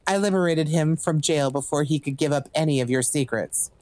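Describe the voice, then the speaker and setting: A woman's voice: sits low, brisk, darkish tone, no room sound, lightly acted. A woman acting in an audio drama as a cocky legendary assassin and thief for hire, with smug, theatrical, drawling taunts. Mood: playful, boastful.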